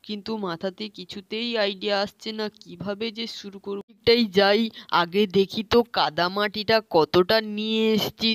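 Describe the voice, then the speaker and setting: A woman acts out a dithering, simple village husband for a doll story. The pitch is middling for a female voice. He sounds hesitant and musing, in a dry recording. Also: dry recording, close to an everyday voice. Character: slow-witted, dithering village husband and father.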